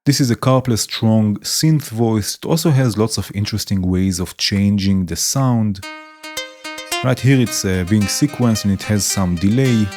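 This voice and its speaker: A man hosting a modular synth tutorial, explaining modules in a relaxed way and often saying "right" and "let's say". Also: on the low side, a little slow. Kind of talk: demonstrating modules while talking. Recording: music playing behind the voice.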